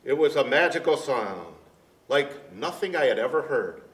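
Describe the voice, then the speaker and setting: A man reading a picture book aloud to children in a deliberate, dramatic storyteller's manner. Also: medium pitch, very animated, a bit bright. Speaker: storyteller dressed as Santa Claus, reading to children. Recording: echoey room, distant mic, faint noise.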